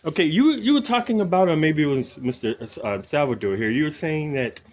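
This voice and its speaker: A man hosting a casual interview, speaking in halting phrases and dropping in the odd Spanish word. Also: fairly high pitch, expressive, a little mellow. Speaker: host, interviewer.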